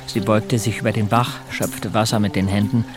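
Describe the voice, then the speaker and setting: An actor narrating a first-person story of boyhood in a German audio drama, plain and measured. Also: male voice, lowish voice, fairly even intonation, natural voice. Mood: observant. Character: male narrator looking back on a rough boyhood. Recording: faint music behind.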